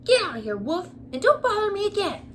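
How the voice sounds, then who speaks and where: A woman voicing a rude, angry male little pig in a children's storybook, yelling loudly. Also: sing-song, a little fast, a little hiss or hum, exaggerated voice. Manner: loud, high-pitched yelling. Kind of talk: children's storybook read-aloud.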